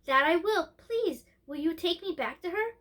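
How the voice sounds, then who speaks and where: A woman's voice: very high voice, lively intonation, moderate pace, fairly bright tone, voice pushed up. A woman voicing a curious, chatty little princess girl in a storybook, asking things in an eager, childlike way. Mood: eager, delighted.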